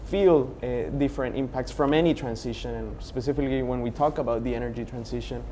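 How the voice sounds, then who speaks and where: A man's voice: mid-range voice, quick pace, warm tone, slight background noise. A young man from Honduras speaking as a panelist, earnest and methodical with many for-instances. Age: young adult. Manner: earnest, building points with for instance. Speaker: panelist, youth organization founder. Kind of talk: panel discussion.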